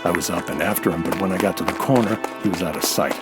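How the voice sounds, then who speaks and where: A low-voiced, wry American tough guy played by a man narrates and talks like an old radio noir sleuth. He is dry and slangy, with music playing clearly behind him. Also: quick pace, close to an everyday voice. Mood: tense narrating. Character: cool, wry American nightclub owner turned hardboiled sleuth.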